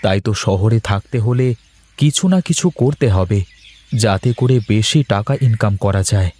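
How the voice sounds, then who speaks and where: A man's voice: on the low side, normal speed, darkish tone, lightly acted. Man acting a weary, worried cartoon husband. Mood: worried, proud. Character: weary, worried married office worker, proud and plain-spoken.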